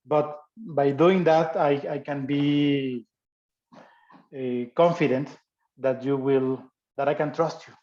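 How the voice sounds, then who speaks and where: A man whose first language is not English, giving an academic talk and answering questions, speaking haltingly with many restarts and fillers. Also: medium pitch, steady tone, darkish tone, muffled recording. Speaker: guest speaker.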